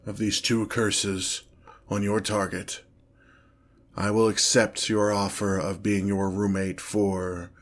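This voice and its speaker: Man playing a slow, haughty, gravelly demon lord. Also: on the low side, a bit bright, heavily acted. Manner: pompous, formal, slow deliberate phrasing with irritated sighs. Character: haughty, exasperated male demon lord, grandiose but petty. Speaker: character performer in roleplay audio. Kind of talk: scripted ASMR fantasy roleplay, one-sided dialogue.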